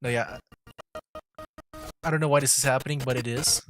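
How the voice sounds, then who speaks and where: A man's voice: mid-range voice, fairly even intonation, moderate pace, fairly bright tone. Young man casually commentating over game music. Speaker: game streamer. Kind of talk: casual livestream gameplay commentary.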